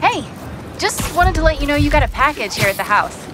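A friendly young woman in a video game. Her high voice is casual and matter-of-fact but lively in pitch, with loud music underneath. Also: a little fast. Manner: casual, conversational.

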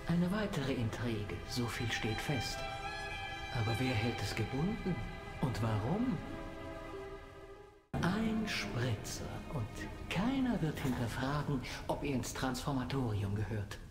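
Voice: medium pitch, big pitch swings, slow pace. An actor voicing a sly, amused, spider-like schemer in a video game, with a wry, smug, theatrical manner. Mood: intrigued. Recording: echoing, loud game music behind.

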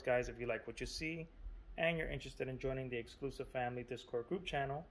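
Guy talking casually to his viewers, lots of "you know" and "look", normal middle pitch. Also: fairly bright tone. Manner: chatty and informal, lots of fillers and asides. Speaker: vlogger. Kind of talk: casual vlog narration.

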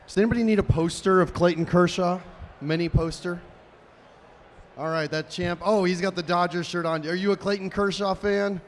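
A young man with a slightly high voice, hosting a live stage show in an upbeat, chatty way as he talks to the crowd. He speaks into a handheld mic in a large convention hall, with a faint wash of hall noise behind him. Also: steady tone, normal speed. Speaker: stage host.

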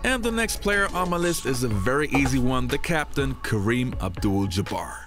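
A man hosting a basketball history show, reading a scripted ranked list in an animated presenter manner. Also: mid-range voice, normal speed. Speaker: basketball history channel host. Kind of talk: scripted narration. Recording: background music under voice.

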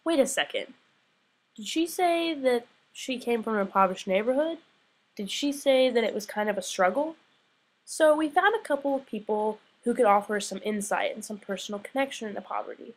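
A young woman narrates a student documentary in an earnest, questioning tone. Her voice sits a little high, and the voice-over is read cleanly into a close mic. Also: expressive, moderate pace, rough recording. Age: young adult.

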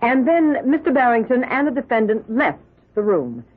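A stern female prosecutor. She is husky and fairly high-pitched, formal and pointed. It sounds like a dull 1970s radio broadcast. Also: very animated, normal speed. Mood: stern, probing. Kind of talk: 1970s radio drama acting.

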